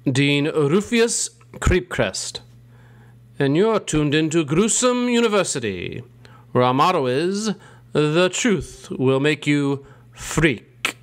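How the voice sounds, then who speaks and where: Slow, high-pitched ghoulish horror host. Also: male voice. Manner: theatrical, drawn-out, punning delivery. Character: ghoulish male horror host and macabre dean, gleefully punning. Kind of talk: campy scripted horror-show hosting.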